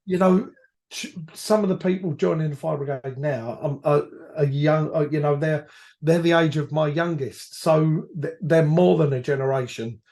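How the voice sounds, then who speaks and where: Croaky man with a cold. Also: a little high, normal speed, a little mellow. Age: 50s. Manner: rambling with frequent restarts and self-corrections. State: hoarse from a cold. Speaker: podcast guest, retired firefighter. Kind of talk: reflective conversational storytelling.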